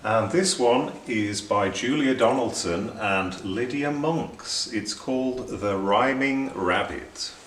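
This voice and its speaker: Slow, expressive man reading in echoey room. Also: fairly low pitch, slight background noise. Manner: deliberate, clearly phrased for children. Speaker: children's story reader. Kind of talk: expressive storybook narration. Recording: roomy echo, distant microphone.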